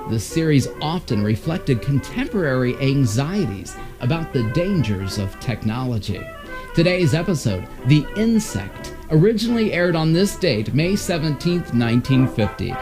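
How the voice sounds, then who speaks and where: A man's voice: mid-range voice, very animated, normal speed. A man hosting with scripted narration about vintage radio. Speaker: host. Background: music.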